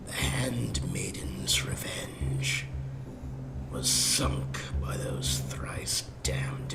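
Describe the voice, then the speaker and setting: A man voicing a proud old male pirate who tells lore in a slow, hushed, gravelly whisper. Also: sits low, full character voice. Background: game music.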